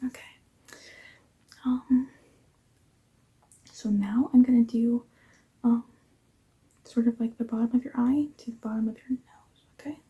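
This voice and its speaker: Tired whispering woman, mellow ASMR voice. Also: fairly high pitch, fairly even intonation, measured pace, pauses often, low-quality recording. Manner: soft whispering, apologetic asides. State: tired and yawning. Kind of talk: ASMR face-measuring roleplay.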